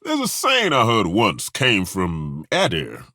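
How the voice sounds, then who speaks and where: Gruff, husky old-soldier dwarf for a fantasy game, unhurried and dry, bright edge in the tone. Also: male voice, fairly low pitch, wildly swooping pitch, no room sound, heavily acted. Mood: dry banter. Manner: dry, wry and plainspoken, with roaring battle cries. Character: gruff, folksy middle-aged male dwarf fighter. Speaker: party companion. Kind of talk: video game voice acting, barks and banter.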